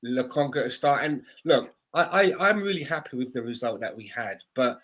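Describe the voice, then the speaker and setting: A man's voice: mid-range voice, expressive, brisk, fairly bright tone. A man on a casual sports podcast, blunt and swearing freely as he argues. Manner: blunt, swears freely, plays devil's advocate. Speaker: podcast co-host.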